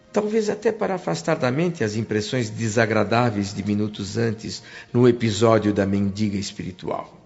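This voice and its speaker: A man playing an attentive, wondering first-person narrator in a Brazilian radio drama, measured and expressive, with a Brazilian accent. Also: lowish voice, vocal fry, muffled recording. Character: attentive, wondering male narrator recalling his spirit-world journey.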